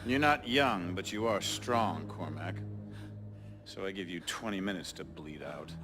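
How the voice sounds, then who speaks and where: An actor playing a menacing military contractor boss in a video game, a male villain who lectures with measured condescension. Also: low-pitched, over music. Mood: quiet menace. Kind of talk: scripted cinematic game acting. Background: dramatic score.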